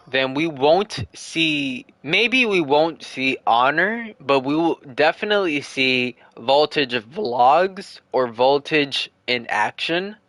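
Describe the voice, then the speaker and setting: A man's voice: mid-range voice, a bit bright, no echo. Earnest rambling young man streaming. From United States. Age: 20s. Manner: earnest, rambling, repeats himself while thinking aloud. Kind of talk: casual livestream Q&A.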